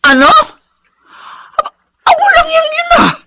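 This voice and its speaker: A woman's voice: very high voice, huge pitch swings, takes it slowly, noisy background, full character voice. An actress playing a fiery old mother-in-law in a Filipino radio drama, with a Filipino accent. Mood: alarmed. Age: older.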